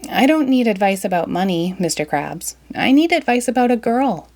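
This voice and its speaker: An excitable young cartoon sponge boy from a storybook, high and bubbly, as a woman reader puts him on. He talks in quick, eager bursts that jump up in pitch.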